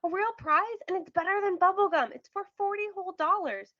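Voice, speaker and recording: An earnest young boy from a storybook, performed by a woman, hopeful of winning and animated in delivery. The pitch is raised very high, in a slightly dull recording. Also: fairly quick, dry recording. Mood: thrilled. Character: wistful young boy longing to win something. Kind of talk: children's storybook read-aloud.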